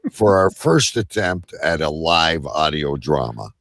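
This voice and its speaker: A man's voice: rumbling low, expressive, slow, fairly bright tone. A man hosting a live show with casual banter.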